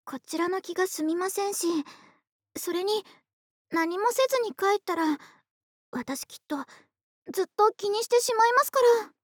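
A voice actress playing a polite, doting young woman next door in an intimate, soft-spoken ASMR roleplay. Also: extremely high pitch, moderate pace, no echo, clean studio sound. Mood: politely eager. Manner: soft-spoken, courteous, polite speech, affectionate. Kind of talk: Japanese ASMR situation voice drama, intimate roleplay. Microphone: close studio microphone, dry.

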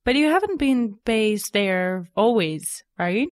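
A woman's voice: medium pitch, animated, slow, dry recording, clean studio sound. A woman hosting a photography podcast, reading an upbeat intro and then interviewing a guest in an encouraging way. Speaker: podcast host.

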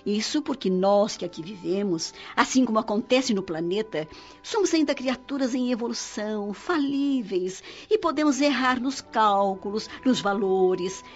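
A woman's voice: medium pitch, lively intonation, unhurried. A Brazilian woman playing a loving, wise spirit mother who counsels her son tenderly and solemnly in a radio soap opera. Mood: patient, solemn teaching. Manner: tender, didactic, solemn, preacherly. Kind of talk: radio soap opera acting, instructive monologue. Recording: dull lo-fi radio drama with music.

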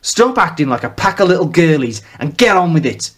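A man voicing an older, domineering male clan patriarch with a gravelly, bassy northern English accent and a commanding manner. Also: very animated, a little fast, fairly bright tone, heavily acted. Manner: commanding, contemptuous.

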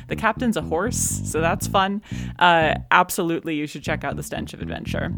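A woman's voice: sits low, creaky. A woman giving enthusiastic, informal show recommendations in a chatty podcast style. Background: plucked guitar music.

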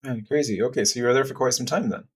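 A man from England hosting a podcast interview in a relaxed, chatty way with frequent fillers. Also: mid-range voice, racing, breathy edge. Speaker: podcast host. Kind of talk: casual interview chat.

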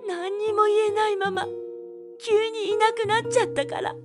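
An actress playing a tender, grieving young widow with emotional, sincere delivery in an audio drama. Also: female voice, very high voice, fairly even intonation, moderate pace, music in the background. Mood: grateful, relieved.

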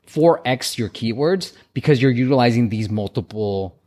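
A man with a mid-range voice coaches a caller through marketing tips. He speaks in a chatty, quick way and laughs now and then. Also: medium pitch, animated, warm tone. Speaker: app marketing advisor. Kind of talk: casual advice call.

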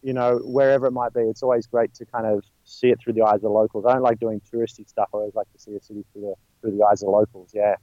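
A man's voice: lowish voice, flat delivery, rapid-fire, hardly any pauses, dark tone, no room sound, lo-fi sound. A man with an Australian accent, chatting as an interview guest, rambling with many fillers and restarts. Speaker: guest, stuntman. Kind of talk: casual interview talk.

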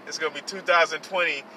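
Earnest young man, bright thin voice. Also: fairly high pitch, far from the mic, a little hiss or hum. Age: 20s. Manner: earnest, repetitive, self-reflective, with many fillers. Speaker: podcast host. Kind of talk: personal motivational monologue.

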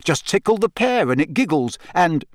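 A man's voice: a little high, very animated, normal speed, no echo, studio quality, voice pushed up. A man doing a cheeky prankster teen boy. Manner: boisterous, teasing.

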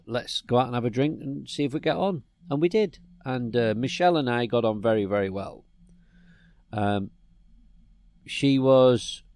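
A middle-aged man from England chats on a podcast and spins a meandering story full of restarts. His voice is warm and mellow. The sound is dry and close. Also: mid-range voice, no room sound. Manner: rambling storyteller, many restarts. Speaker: podcast co-host. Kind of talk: casual chat.

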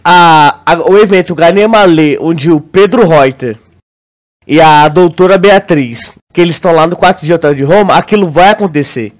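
A Brazilian man with a notably high voice talks as a podcast co-host. He explains things haltingly, doubling back on words and regularly asking for agreement in a casual, conversational way. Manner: halting, repeats words, often checks listener agrees. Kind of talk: casual podcast discussion, theorizing.

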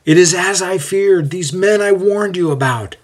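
A male narrator acts an anxious young disciple of a rabbi in an audiobook story. He is exasperated and insistent, pressing his point urgently in a slightly husky voice. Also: high-pitched, moderate pace, pitched above the performer's own voice. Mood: alarmed.

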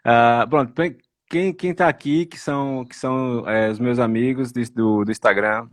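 A man hosts a relaxed online live chat from home. He talks in a halting way, circling back and repeating words as he goes. His voice sits in a middle range, and the sound is dry and close on a call mic. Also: medium pitch, dry recording. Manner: hesitant, often restarts and repeats words. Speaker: host of an online live stream.

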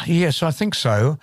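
A man's voice: fairly high pitch, fairly bright tone, dry recording. An older man from Australia, co-hosting a podcast. He is playful and wry in casual chat, prompting the others with questions. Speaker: podcast co-host.

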